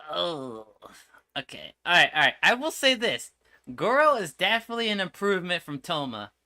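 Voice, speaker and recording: A man's voice: high voice, very animated, moderate pace, sharp tone. A man giving casual, slangy reaction commentary as a game streamer, with an exasperated manner.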